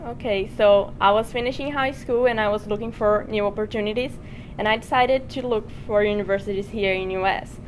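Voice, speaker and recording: Woman from Brazil, freshman panelist, earnest and simple, mid-pitched, faint rumble. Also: fairly quick, poor audio. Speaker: student panelist. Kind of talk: panel discussion answers.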